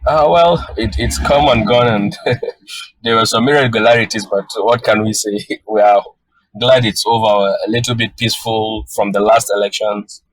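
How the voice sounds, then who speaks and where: A young man from northern Nigeria with a Nigerian accent, native Hausa speaker, answering interview questions in a measured way over a call, with frequent fillers and restarts. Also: on the low side, steady tone, dry recording, low-quality recording. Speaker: guest photographer.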